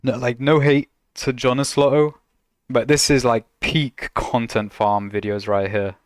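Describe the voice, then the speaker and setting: A man's voice: medium pitch, fairly even intonation, unhurried, no room sound, studio quality. A man casually reacting to videos on a stream, laughing a lot and teasing in a slangy, joking way.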